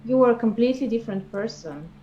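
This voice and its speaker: A woman playing a wistful, awkward girlfriend in an improvised comedy breakup scene, speaking haltingly with stammers. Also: a little high, expressive, dark tone, slight background noise, close to an everyday voice. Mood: wistful, hurt. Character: wistful, awkward pregnant girlfriend being left, passively sarcastic. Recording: dull lo-fi sound, music behind.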